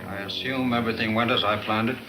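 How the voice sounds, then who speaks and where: A low, formal gang boss played by a male actor, cold and exact in every phrase. He sounds menacing in classic 1940s serial fashion, on an old film soundtrack with clear music underneath. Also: fairly even intonation, close to an everyday voice, poor audio. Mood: cold displeasure. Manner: measured, precise, formal phrasing.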